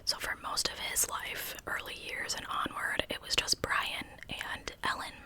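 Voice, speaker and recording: Whispering woman, ASMR storyteller. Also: measured pace. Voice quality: breathy unvoiced whisper. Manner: conversational narration. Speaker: true crime ASMR host.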